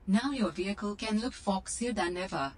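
Woman as a measured female computer assistant. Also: mid-range voice, expressive, a little hiss or hum, natural voice. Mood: neutral, informative. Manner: measured, informative. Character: polished female computer assistant in sci-fi game. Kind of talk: scripted narration.

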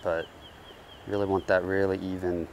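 A man's voice: low-pitched, normal speed, slight background noise. A man vlogging while fly fishing on a riverbank, chatting casually to viewers and explaining his casting. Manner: relaxed, chatty, addresses viewers as guys. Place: outdoors on a riverbank. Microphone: head-mounted action camera.

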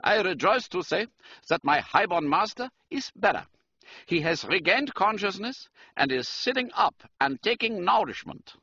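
A man's voice: high voice, sing-song, moderate pace, bright, forceful tone, breathy edge, dull audio, pitched above the performer's own voice, full character voice. A man voicing a stiff, ceremonious German count with a florid, formal way of speaking in a storybook reading. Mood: solemn.

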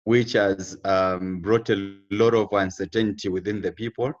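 A man's voice: low voice, fairly even intonation, a little slow, highs cut off. A young man from Zambia with a Zambian accent, talking formally and steadily on a video call interview, often repeating his key phrases. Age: young adult. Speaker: interview guest. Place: indoor room with exposed roof beams.

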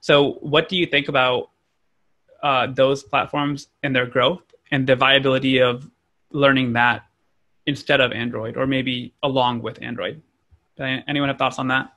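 Gravelly, bright-voiced young male host. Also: mid-range voice, flat delivery, normal speed. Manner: inquisitive, poses questions. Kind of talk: moderating a panel.

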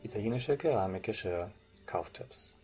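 Low male voice from germany reading out a formal slideshow script, music playing behind. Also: lively intonation, moderate pace, a little mellow. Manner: formal, read-out delivery. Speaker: presenter. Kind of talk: scripted narration of a slide presentation.

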